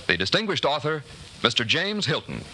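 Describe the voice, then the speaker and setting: A man's voice: fairly high pitch, big pitch swings, moderate pace, bright, forceful tone. A man making formal, polished announcements in the style of a 1940s radio announcer. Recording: noisy vintage 1940s radio broadcast.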